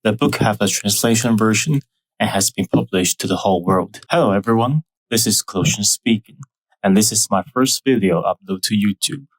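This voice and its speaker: Young Taiwanese-accented male host, lowish voice, dry close mic, measured scripted history narration. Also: dry recording, rough recording. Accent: Taiwanese Mandarin. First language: Mandarin. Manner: careful, measured explaining with non-native phrasing.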